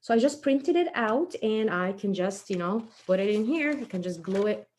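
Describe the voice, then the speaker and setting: A woman with a medium-pitched voice walks children through a craft step by step. She is casual and encouraging, talking as she works with her hands. The recording has faint background noise and small clicks. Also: expressive, normal speed, a little mellow. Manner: informal, encouraging, talking while working with hands. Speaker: craft tutorial presenter.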